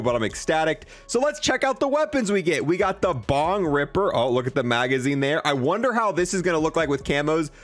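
High, lively-voiced man hyping game items. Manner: enthusiastic, slangy bro talk. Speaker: host of a game review video. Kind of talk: excited gaming commentary.

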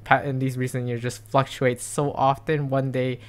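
A man's voice: mid-range voice, level tone, moderate pace, clean tone. A young man vlogging while cycling on an outdoor trail, talking into a handlebar camera in a rambling, upbeat way with many fillers and restarts. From Seattle area. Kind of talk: casual narration while riding. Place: outdoors on a bike trail, riding. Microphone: camera mounted on bike handlebars.

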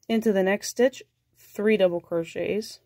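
A woman with a head cold leaves her voice nasal as she walks through crochet stitches step by step. She is calm and patient and counts each stitch aloud. Her pitch sits in the middle, and the recording is dry and close with no room sound. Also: a little slow, dry recording. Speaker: crochet instructor. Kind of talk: step-by-step craft tutorial.

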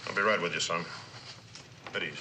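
Commanding low-voiced senior officer played by a man. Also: fairly even intonation, natural voice. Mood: calm authority. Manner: brisk, authoritative. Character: commanding, unflappable senior Air Force officer. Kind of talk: 1960s television drama acting. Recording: noisy old drama soundtrack.

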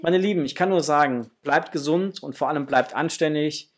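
A man's voice: on the high side, moderate pace. A middle-aged man hosting a toy unboxing, chatting casually and enthusiastically to his viewers in a gushing way. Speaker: host of a toy collecting channel. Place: small home room indoors.

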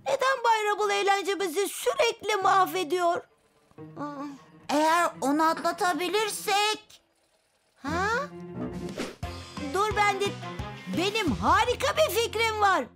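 An actor voicing an eager, mischievous young bus, childlike and excitable, in a children's cartoon dub. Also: extremely high pitch, measured pace, long pauses, music in the background, full character voice.

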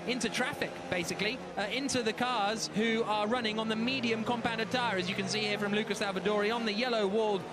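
A man's voice: high-pitched, fairly even intonation, fast, few pauses, music in the background. A man giving live motorsport commentary as a race commentator. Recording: loud engine noise behind voice. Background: racing car engines.

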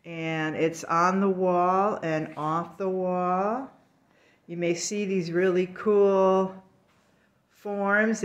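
A woman artist giving a casual, enthusiastic walkthrough of a gallery show, filmed on a handheld phone. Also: mid-range voice. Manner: chatty, enthusiastic, unhurried. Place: gallery in an old brick factory building.

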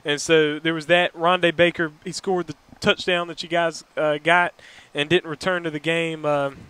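Higher-voiced young sports host. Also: male voice, flat delivery, normal speed, a bit bright, clean tone. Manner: conversational, recaps stats. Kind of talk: postgame interview. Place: outdoors by a school building at night. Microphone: headset mic.

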